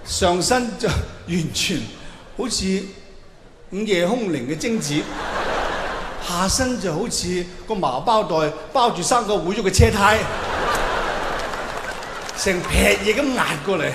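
High-voiced man doing stand-up. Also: measured pace, long pauses. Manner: animated, theatrical storytelling with mock-earnest advice. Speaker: stand-up comedian. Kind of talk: stand-up comedy monologue. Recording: echoey hall with long reverb, clear noise.

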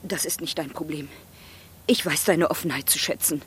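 A man's voice: fairly high pitch, moderate pace, a bit bright, voice pushed up, lightly acted. A man voicing a worried middle-aged mother in an audiobook, pleading and conciliatory, with faint noise behind. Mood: placating.

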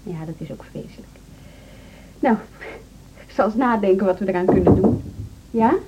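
A woman's voice: mid-range voice, moderate pace, close to an everyday voice. An actress in a Dutch radio drama playing a practical, kind woman who teaches piano. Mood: patient, caring. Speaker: actor. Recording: muffled recording with clear background noise.

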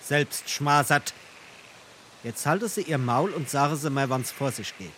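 A man's voice: mid-range voice, measured pace, a bit bright, a little hiss or hum, natural voice. An actor playing a naive, hot-headed young journeyman in love, earnest and blustery, in Darmstadt Hessian dialect comedy. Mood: hot-headed defiance. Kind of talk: dialect stage comedy acting.

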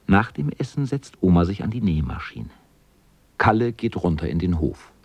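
An actor narrating a children's radio play as a plain-spoken storyteller with a husky voice. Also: male voice, sits low, big pitch swings, moderate pace, a little mellow, no echo, heavily acted. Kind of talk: scripted narration in a radio drama.

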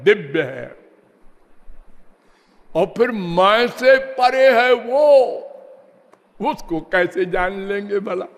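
A man delivers a devotional discourse at a very slow, deliberate pace, stretching words and sometimes lapsing into a sing-song chant. His voice sits fairly high for a man. The sound carries a long reverb, as if from a large hall. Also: a bit bright. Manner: slow measured preaching, drawn-out words, half-sung phrases. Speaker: spiritual teacher.

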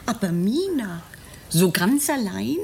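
An actress in a German radio drama playing a middle-aged mother who admonishes gently in a drawn-out, motherly way. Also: medium pitch, sing-song, creaky, a little hiss or hum, heavily acted. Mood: chiding, concerned. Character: Mrs Westenra, older mother, gently chiding.

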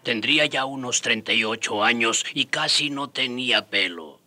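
An actor playing a garden worker who looks back and narrates his friend's story in a measured radio drama style. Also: mid-range voice. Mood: reflective. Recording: loud music under the narration.

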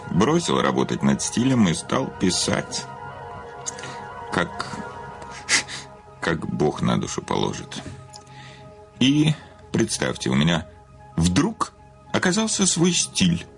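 An actor in a radio play playing a vain, cynical famous writer and confessed killer, with a theatrical, sardonic manner. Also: male voice, sits low, wildly swooping pitch, slow pace, creaky, long pauses, faint music behind, exaggerated voice. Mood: sardonic, boastful.

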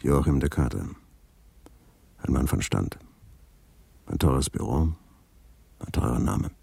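Man's inner thoughts, radio drama, extremely low, raspy, dry close sound, wry terse fragments. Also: animated, slow pace, warm tone, dry recording, heavily acted. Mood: dryly sardonic. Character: wry male inner voice sizing people up. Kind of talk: radio drama interior monologue.

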